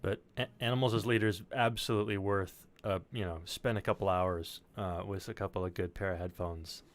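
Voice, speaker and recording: A man's voice: sits low, fairly even intonation, fairly quick. A man co-hosting a casual music panel, putting questions and talking informally. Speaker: co-host asking questions. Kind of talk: casual panel chat, some swearing.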